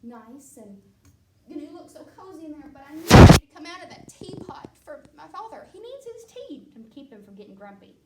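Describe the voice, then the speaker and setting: A woman doing a high sing-song princess. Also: big pitch swings, normal speed. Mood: coaxing. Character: bossy young princess. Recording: roomy distant mic, faint background noise.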